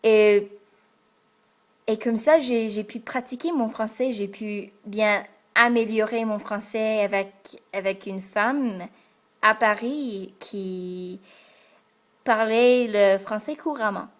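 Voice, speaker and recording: A young American woman from Texas, a French learner speaking to the camera in a careful, hesitant way with many pauses and fillers. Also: medium pitch. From Texas, United States. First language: English. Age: young adult. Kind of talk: casual talk to camera. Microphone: camera in front of her.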